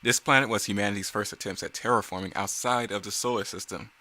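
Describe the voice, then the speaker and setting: Bright-voiced man rambling through casual commentary. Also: lowish voice, fairly even intonation, fast. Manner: rambling, self-correcting, giggly. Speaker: creator giving commentary on own motion comic series.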